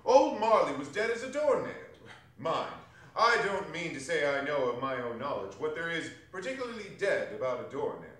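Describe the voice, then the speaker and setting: A man's voice: fairly high pitch, very animated, moderate pace, a bit bright. A man giving an emphatic, theatrical reading of a classic story as a stage performer. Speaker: stage reader in a theatre company. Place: arts center performance space, distant camera. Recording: very echoey hall, distant microphone.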